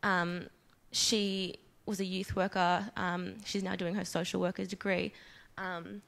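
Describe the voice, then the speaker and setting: Young woman with a croaky voice. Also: fairly low pitch, flat delivery, unhurried, fairly bright tone. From Australia. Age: young adult. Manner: casual, personal storytelling with many ums. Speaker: panellist, dance school director. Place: conference hall.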